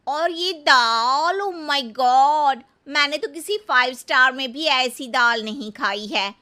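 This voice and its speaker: A woman voicing a warmhearted young city woman in a cartoon story, gushing and effusive. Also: sits high, slow pace, voice pushed up, heavily acted.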